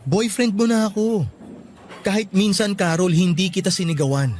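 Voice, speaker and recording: An actor playing a devoted, tender young Filipino boyfriend in a Filipino radio drama. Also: male voice, sits high, steady tone, moderate pace, a little hiss or hum, natural voice. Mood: hurt, pleading. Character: Elias, devoted young boyfriend, affectionate and pleading.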